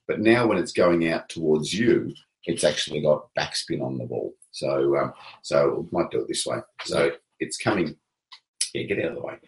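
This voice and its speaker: A man with a very deep voice explains technique in a relaxed, unhurried manner, often pausing on fillers. The sound carries a roomy echo. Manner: relaxed, hesitant with many fillers. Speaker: table tennis coach. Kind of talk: casual coaching explanation.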